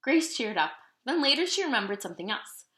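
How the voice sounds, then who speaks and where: A woman reads a picture book aloud with lots of expression. Her pitch moves freely and her tone is bright and clear-cut. The room adds a noticeable echo around her storytelling. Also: brisk. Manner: animated, dramatic storytelling delivery. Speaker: storybook reader. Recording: roomy, echoing room sound.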